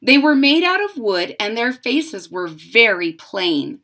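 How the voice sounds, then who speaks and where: Animated woman reading a picture book. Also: a little high. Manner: deliberate, dramatic emphasis. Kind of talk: expressive children's read-aloud with chatty asides. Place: room at home.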